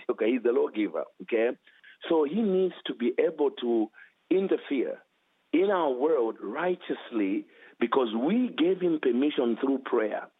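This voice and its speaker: A man with a heavy accent, a guest author teaching with emphasis and repeating key phrases. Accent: heavy foreign accent. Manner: emphatic, repeats phrases, animated swings in pitch. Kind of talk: preaching teaching interview. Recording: tinny phone line.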